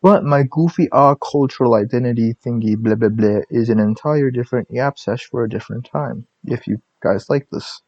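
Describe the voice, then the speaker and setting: A man's voice: mid-range voice, moderate pace, hardly any pauses, a little mellow, no echo, highs cut off. Rambling, self-mocking young man. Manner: rambling, self-deprecating, jokey asides. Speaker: YouTuber. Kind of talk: casual vlog narration.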